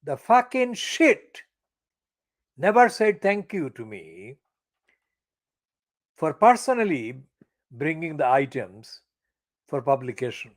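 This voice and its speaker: Slow, animated older man venting with contempt. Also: fairly high pitch. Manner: angry, profane, emphatic; slow, deliberate phrasing with stressed words. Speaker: channel editor giving commentary. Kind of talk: personal political monologue.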